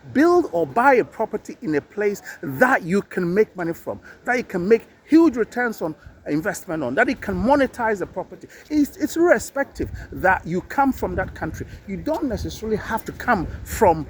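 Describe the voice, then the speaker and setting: A man with a high-pitched voice speaks off the cuff in a vlog, as an investor giving advice. He is emphatic and exhorting, hammering points through repetition. He is recorded outdoors, with faint ambient noise behind him. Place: outdoors near a beach at night.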